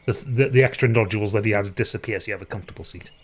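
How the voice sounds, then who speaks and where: A man narrating a tabletop game as game master, with quick, animated delivery. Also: fairly low pitch, husky.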